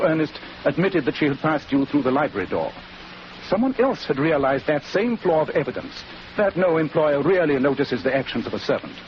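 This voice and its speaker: An actor in a 1940s radio drama playing an assured, incisive detective with precise English diction and an authoritative manner. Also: male voice, a little high. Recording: muffled, noisy 1940s radio recording.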